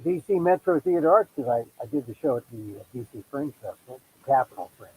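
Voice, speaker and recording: A middle-aged Filipino American man hosting his own podcast, chatting casually with self-deprecating asides and quick restarts. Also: medium pitch, expressive, darkish tone, slightly rough, low-quality recording. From United States. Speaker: podcast host. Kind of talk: casual solo monologue promoting his show.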